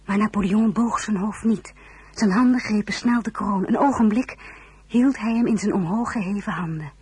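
An actress playing a composed, polite young marshal's wife in an audio drama, formal and restrained. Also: female voice, medium pitch, normal speed, a little mellow, breathy edge, no room sound. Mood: reflective. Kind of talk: audio drama narration and dialogue.

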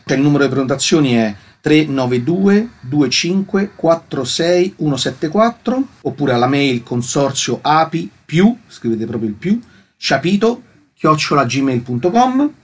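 A man's voice: on the high side, sing-song, a little slow, breathy edge. A man working as an artistic director, a guest on a radio interview, carefully spelling out a website and phone numbers. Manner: spells out contact details carefully.

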